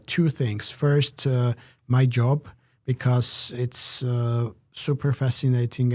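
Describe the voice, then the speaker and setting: A man giving measured, reflective interview answers, pausing often with "uh" between phrases. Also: medium pitch, dark tone, no room sound. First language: Georgian. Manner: measured, many "uh" pauses. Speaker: interview guest, researcher.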